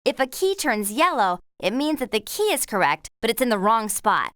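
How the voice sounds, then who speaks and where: Friendly young female game guide, confident, fairly high voice, expressive, instructive. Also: normal speed, no room sound, clean studio sound. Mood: helpful. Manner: bright, instructive, helpful. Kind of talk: scripted children's game voice acting.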